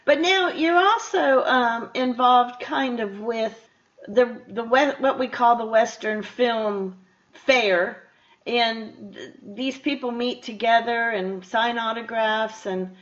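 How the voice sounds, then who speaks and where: High-voiced woman hosting a radio interview. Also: takes it slowly, fairly bright tone. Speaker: radio show host, interviewer. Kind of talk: casual conversational interview. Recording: dull, lo-fi radio interview audio.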